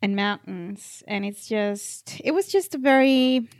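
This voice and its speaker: A Norwegian-accented woman talks in a relaxed, soft way, pausing and trailing off as she finds her words. Her voice sits mid-range for a woman. The sound is dry and close, with no room around it. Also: mid-range voice, dry recording. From Norway. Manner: soft-spoken, hesitant, trailing off between phrases. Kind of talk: casual chat.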